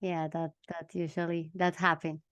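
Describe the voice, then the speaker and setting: A woman's voice: fairly low pitch, flat delivery, normal speed, fairly bright tone. Woman interviewer with short questions. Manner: short follow-up questions and affirmations. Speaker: interview host. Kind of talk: conversational interview.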